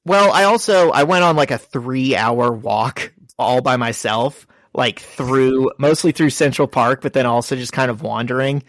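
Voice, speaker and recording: A man's voice: medium pitch, unhurried, hardly any pauses, a bit bright, no echo. A man co-hosting a podcast, chatting casually and telling self-mocking stories. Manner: joking, self-mocking storyteller. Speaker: podcast co-host. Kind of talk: casual chat.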